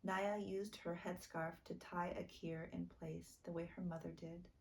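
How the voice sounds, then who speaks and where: A woman reading a children's book aloud as a storytime narrator, expressive and deliberate. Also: on the low side, gravelly. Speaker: storytime reader.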